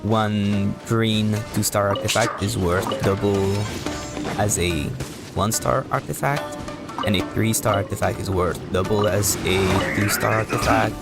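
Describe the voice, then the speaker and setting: A man with a low, gravelly voice streams a game in a casual way. He talks very slowly and haltingly, rambling through his explanations as he plays. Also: fairly even intonation. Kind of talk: casual live stream commentary while playing. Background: music.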